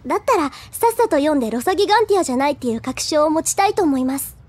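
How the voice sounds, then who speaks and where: A high-voiced, earnest younger schoolgirl. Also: animated, rapid-fire, a little mellow, dry recording. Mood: earnest, determined. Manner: polite, eager, a little timid. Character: earnest, deferential younger schoolgirl, sweet and honest. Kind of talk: Japanese audio drama acting.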